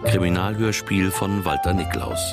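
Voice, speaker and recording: A man's voice: low voice, normal speed, creaky. A man announcing the scripted title and cast credits of a radio play over music. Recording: music under the voice.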